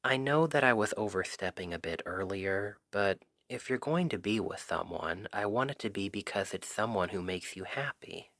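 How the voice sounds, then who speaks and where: A man acting a shy, earnest best friend in an intimate ASMR roleplay, speaking softly and haltingly. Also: lowish voice, expressive, dry recording. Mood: earnest, selfless. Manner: hesitant, halting, soft-spoken. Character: shy, earnest male Cupid best friend confessing love.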